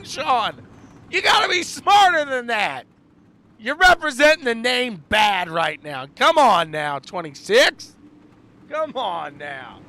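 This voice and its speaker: Guy calling dirt track race, high voice, animated, repeats himself, engines loud behind him. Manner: animated, repeats phrases for emphasis. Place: announcer's booth at a race track. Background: race cars and outdoor track noise.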